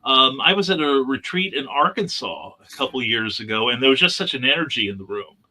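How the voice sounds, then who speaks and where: A man's voice: medium pitch, lively intonation, brisk, sharp tone, low-quality recording. A man giving a reflective, explanatory answer as a guest on a discussion panel.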